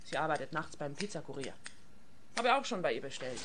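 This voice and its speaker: A woman's voice: low-pitched, sing-song, brisk, over loud music. A woman playing a blunt, matter-of-fact hairdresser in a radio play. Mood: dry, matter-of-fact.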